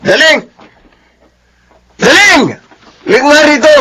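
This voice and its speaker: A man's voice: extremely high pitch, animated, slow pace, noisy background, heavily acted. A man acting as a spoiled, hot-tempered employer in his twenties, brash and petulant, in a Filipino radio drama. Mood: furious. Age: 20s. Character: spoiled, hot-tempered drug-using young male employer.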